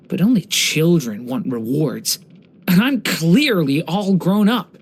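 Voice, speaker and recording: Gentle dragon boy from a video game, earnest n full of wonder, pitch goes up and down a lot, middle register. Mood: innocent, cheerful. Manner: soft-hearted, earnest, wondering, careful storytelling delivery. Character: gentle, earnest young boy who was once a small dragon. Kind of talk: scripted game character voice lines.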